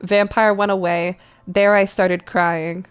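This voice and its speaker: Playful, clear-voiced woman reading fanfiction theatrically. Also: medium pitch, flat delivery, slow, soft background music. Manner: playful, theatrical, spells out misspellings. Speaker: host reading fanfiction aloud. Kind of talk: mock-dramatic story reading with casual asides.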